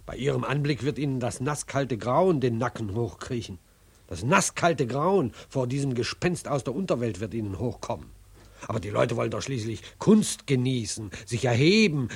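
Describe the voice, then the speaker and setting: An actor playing a pompous, patronizing theatre director, declamatory and condescending, in 1940s German radio drama style. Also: male voice, medium pitch, gravelly, slightly rough, full character voice. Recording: vintage 1940s radio recording.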